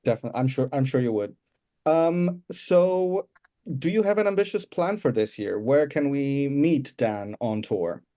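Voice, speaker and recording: A man with a dark, relaxed, mellow voice hosts a chatty interview. His pitch is average, and he asks his questions with playful formality. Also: normal speed. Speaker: podcast host, interviewer.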